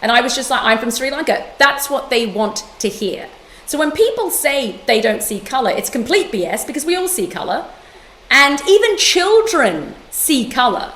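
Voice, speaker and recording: A middle-aged woman from London, speaking as an interview guest in a passionate, emphatic way with quick restarts. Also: high voice, sing-song, a bit bright, roomy sound, low-quality recording. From London, England. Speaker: guest author and yoga teacher. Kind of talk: conversational interview.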